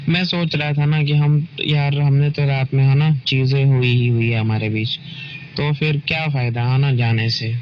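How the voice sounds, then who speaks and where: A man talks casually and intimately to his partner over the phone, at an ordinary male pitch. He often tags his remarks to seek agreement. The call recording is dull and clearly noisy. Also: steady tone, normal speed.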